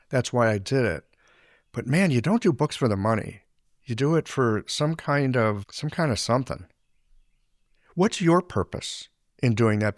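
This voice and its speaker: A man hosting a podcast interview, reflective and relaxed in his questions. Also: medium pitch, no echo, studio quality. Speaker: podcast host. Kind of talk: interview conversation.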